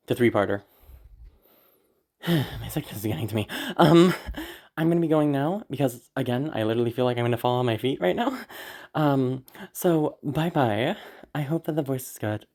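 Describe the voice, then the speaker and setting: An audio creator chats informally to listeners in a mid-pitched voice. The delivery is rambling and hesitant, anxious and apologetic, with casual swearing. The throat sounds tired and sore from being sick. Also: darkish tone.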